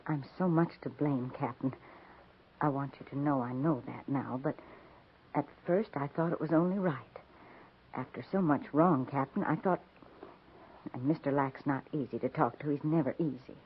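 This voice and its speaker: Timid frontier wife, tinny radio. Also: female voice, extremely low pitch, normal speed. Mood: anxious, confiding. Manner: hesitant, confiding, self-effacing. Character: timid, earnest, guilt-ridden frontier wife. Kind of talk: 1950s radio western acting. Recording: tinny, hissy 1950s radio recording.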